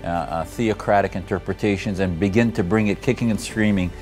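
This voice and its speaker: A man's voice: sits low, steady tone, fairly quick, a little mellow, faint music behind. A man speaking as an activist in a documentary interview.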